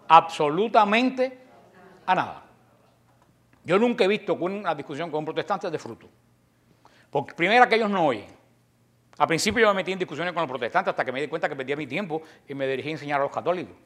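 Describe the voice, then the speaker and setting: Fiery Cuban man preaching to the faithful. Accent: Cuban Spanish. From Cuba. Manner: forceful, preachy, emphatic with sudden drops to a low voice, joking asides. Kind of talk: impassioned religious talk.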